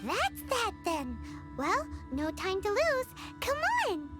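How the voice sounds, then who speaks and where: A woman voicing a small, cheeky, childlike fairy companion in a video game, with a squeaky voice. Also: very animated, slow pace, exaggerated voice. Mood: eager. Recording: children's game music behind.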